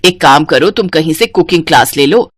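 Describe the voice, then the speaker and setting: A woman's voice: deep voice, expressive, a little fast, dry recording, pitched below the performer's own voice. A woman voicing a critical, bossy elderly Indian mother-in-law in a story. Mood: scolding, dissatisfied. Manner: complaining, bossy.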